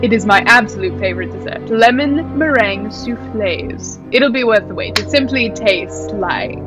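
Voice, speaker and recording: A woman playing a haughty, falsely gracious wealthy hostess in a radio play, with affected, drawn-out politeness. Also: mid-range voice, expressive, close to an everyday voice. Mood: gushing, apologetic. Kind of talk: theatrical radio play acting. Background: prominent music.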